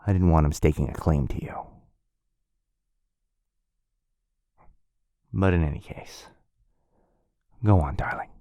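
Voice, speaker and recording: A man playing a tender, devoted vampire lover in an intimate romantic ASMR roleplay, speaking softly and haltingly with a breathy, often whispered voice. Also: a bass voice, no echo. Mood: reassuring. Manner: soft, halting, earnest delivery.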